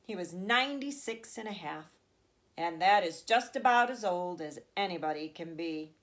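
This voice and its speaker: Expressive woman reading a children's story aloud. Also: fairly low pitch. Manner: expressive, deliberate phrasing. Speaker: teacher reading aloud to third graders.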